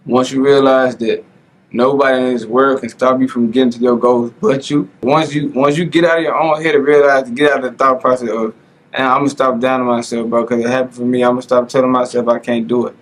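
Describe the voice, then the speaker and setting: A man's voice: medium pitch, little pitch movement, brisk, low-quality recording. Earnest man hosting a podcast. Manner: earnest, motivational, says "bro" and "like" a lot. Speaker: podcast host. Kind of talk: casual interview chat.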